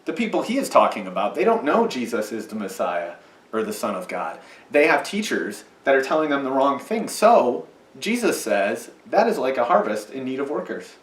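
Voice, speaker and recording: A man's voice: medium pitch, sing-song, moderate pace, reverberant, far from the mic. A man leading a children's message in church, patiently explaining in a sing-song way for kids. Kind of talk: children's sermon with puppet. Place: church sanctuary.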